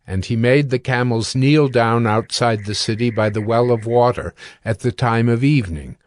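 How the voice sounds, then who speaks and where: A man narrating a dramatized scripture reading in a measured, formal, scripted style. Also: mid-range voice, dry recording.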